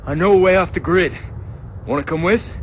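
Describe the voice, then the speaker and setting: A man's voice: sits high, normal speed, a little hiss or hum, close to an everyday voice. An actor playing a determined, impulsive young man in a video game, talking in a direct, informal way. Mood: eager. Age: young adult. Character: determined, impulsive young man seeking his lost father. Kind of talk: cinematic video game acting. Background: faint music.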